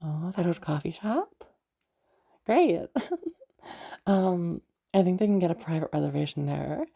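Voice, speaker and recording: A woman's voice: fairly low pitch, animated, measured pace, vocal fry, dark tone, husky, exaggerated voice. A woman voicing a sweet, bubbly, nervous young woman chatting in a roleplay audio drama, hesitant, giggly and stammering. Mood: cheerful, flustered. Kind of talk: roleplay audio drama, one side of a phone chat.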